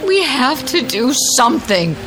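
A woman's voice: high voice, big pitch swings, measured pace, noisy background. An actress in a radio drama playing a distraught mother desperate for her lost little girl. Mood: desperate, determined. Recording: radio drama with music behind.

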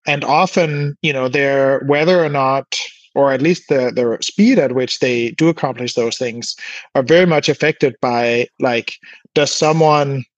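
A man's voice: mid-range voice, measured pace, dry recording, poor audio. A man from Denmark explaining things informally in a conversational interview, often hedging with asides. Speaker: podcast guest, biotech co-founder and scientist.

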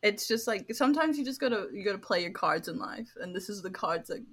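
A woman's voice: medium pitch, animated, fast, slightly rough. A young British woman with a British accent, co-hosting a podcast with dry, sarcastic humor. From Britain. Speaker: podcast co-host. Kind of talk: casual chat.

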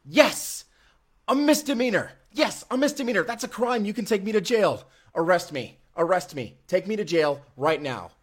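A man's voice: high-pitched, lively intonation, moderate pace. An actor playing a desperate, foul-mouthed young man on the run in an audio drama. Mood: frantic, pleading. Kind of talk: first-person immersive audio drama acting.

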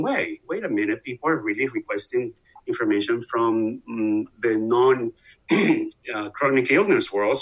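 A man's voice: mid-range voice, fairly bright tone, rough recording. A man in his sixties with a Venezuelan accent, answering as an interview guest, earnest, with many fillers and restarts. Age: 60s. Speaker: guest expert.

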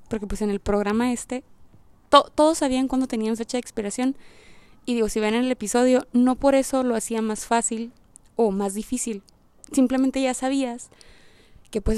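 A woman's voice: on the high side, darkish tone, clear, resonant tone, no echo. A woman giving a casual, reflective monologue as a podcast host, informal and slangy, rambling as she thinks aloud. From Puerto Rico.

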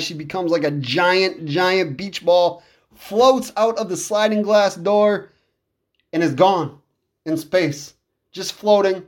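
A man in his thirties giving casual, enthusiastic solo commentary as a podcast host, in a conversational way. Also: high voice. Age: 30s.

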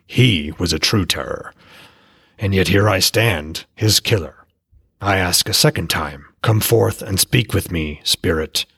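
A man's voice: low voice, measured pace, vocal fry, a bit bright, breathy edge, dry recording, clean studio sound, exaggerated voice. A man voicing a proud, scornful old war god in an audiobook, speaking in a haughty, commanding way. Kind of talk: audiobook character voice.

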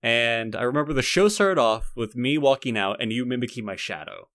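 A young man co-hosting a podcast, reminiscing casually in a halting, rambling way with frequent repeated words. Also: mid-range voice, expressive, fairly bright tone, no room sound, studio quality. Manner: halting, repetitive, rambling delivery. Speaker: podcast co-host.

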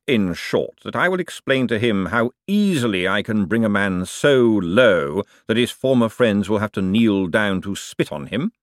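A man reading aloud and voicing a cold, calculating ruler who speaks slowly with icy politeness. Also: on the low side, no room sound, clean studio sound, pitched above the performer's own voice. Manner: slow, measured, icily polite. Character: cold, silkily menacing male ruler, patient tyrant.

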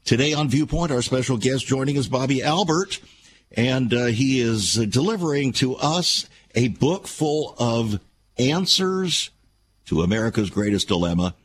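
A man's voice: medium pitch, expressive, a little slow, slight background noise. A man hosting a talk show, delivering a rhetorical, emphatic monologue full of repeated questions. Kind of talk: opinion show monologue and interview.

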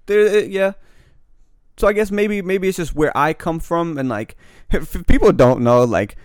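A man's voice: a little high, lively intonation, unhurried, clean tone, dry recording, clean studio sound. A young man from Pakistan in casual podcast chat, rambling and slangy, often correcting himself mid-sentence.

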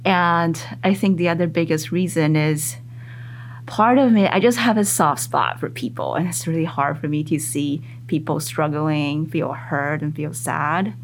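A woman psychologist whose first language is Mandarin, talking in a casual interview with thoughtful, lively rises in her voice. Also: low voice, measured pace, slight background noise. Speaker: psychologist guest.

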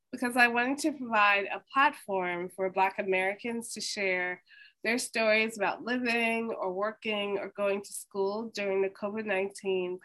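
A woman hosts an oral history interview with a bright, ringing voice. She speaks in a slow, measured way. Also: medium pitch, rough recording. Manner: slow, measured, scripted-sounding introduction. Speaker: host.

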